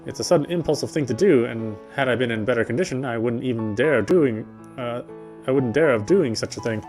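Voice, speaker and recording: A man's voice: mid-range voice, brisk, darkish tone. A man narrating a visual novel aloud in a dramatic storytelling style as he plays. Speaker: gamer reading the game text aloud. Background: faint game music.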